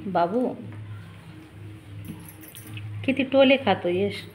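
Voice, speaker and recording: A woman's voice: mid-range voice, extremely slow, phone-quality sound, faint music behind. A middle-aged woman, chatting casually at home and giving instructions as a family vlogger. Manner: instructing, chiding. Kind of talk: casual household chat and vlog narration.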